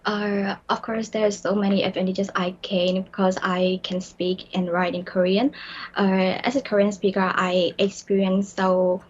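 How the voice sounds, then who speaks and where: Young woman, Burmese accent, non-native English, hesitant, many fillers, flat delivery, slightly dull sound. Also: mid-range voice, measured pace. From Myanmar. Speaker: guest. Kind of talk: broadcast interview.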